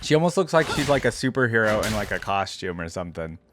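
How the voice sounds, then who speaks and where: Casual man reacting over clear music. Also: on the low side, big pitch swings, fast talker. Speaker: reaction video host. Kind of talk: casual off-the-cuff reaction commentary. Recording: music playing behind the voice.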